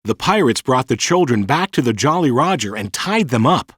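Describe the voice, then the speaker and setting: Animated male storybook narrator. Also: mid-range voice, moderate pace, no echo, studio quality. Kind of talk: scripted children's storybook narration.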